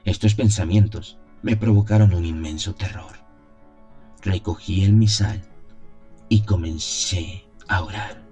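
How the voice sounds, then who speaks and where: A man narrating a gothic horror audio drama in the first person, solemn, measured and dramatic. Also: sits low, steady tone, mellow, soft background music.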